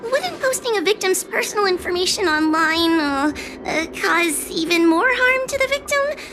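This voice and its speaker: A woman's voice: extremely high pitch, big pitch swings, normal speed, low-quality recording. A woman voicing a timid, anxious young girl in an anime game, hesitant and stammering but eager to please. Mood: hesitant, worried. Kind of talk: anime game dialogue acting. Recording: music behind the voice.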